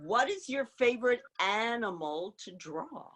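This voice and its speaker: A woman's voice: on the high side, animated, measured pace, a bit bright. A woman from Israel who moved to New York as a child, hosting a casual interview in an effusive way, often repeating phrases for emphasis. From Israel, moved to New York at age four. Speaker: storytime host.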